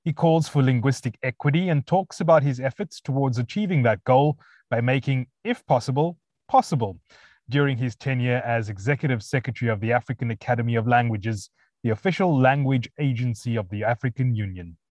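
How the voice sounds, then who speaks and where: A man hosting an interview, reading a prepared introduction and then asking questions with pauses. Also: mid-range voice, a little mellow, dry recording, lo-fi sound. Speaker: podcast host.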